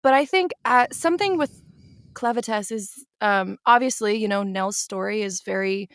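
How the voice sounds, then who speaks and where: A woman's voice: mid-range voice, moderate pace, dry recording, clean studio sound. Bubbly woman guest on a podcast. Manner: bubbly and playful, laughs often. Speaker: voice actor guest. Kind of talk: casual interview chat.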